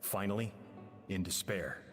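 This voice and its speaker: A man voicing a grieving, remorseful middle-aged man, delivering a measured, solemn confession as dramatic game narration. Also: deep voice. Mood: sorrowful. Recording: long reverb, music behind.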